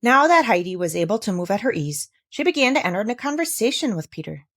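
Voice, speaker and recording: A woman narrating a scripted children's story in an expressive, careful storytelling manner. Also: medium pitch, fast, no echo, studio quality. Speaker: audiobook reader.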